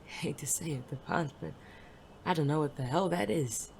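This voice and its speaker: A performer playing a world-weary, self-doubting male private eye and war veteran in an audio drama, gruff, hesitant and prone to swearing. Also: medium pitch, normal speed, a little mellow, lightly acted. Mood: weary, reluctant. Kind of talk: intimate audio drama dialogue. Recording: clearly noisy background ambience.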